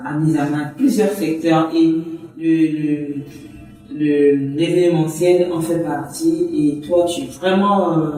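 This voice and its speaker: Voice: mid-range voice, fairly even intonation, a little slow, dark tone. Distant echoey interviewer with music behind. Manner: long winding questions with fillers and repeated words. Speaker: interviewer, host. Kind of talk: interview questions.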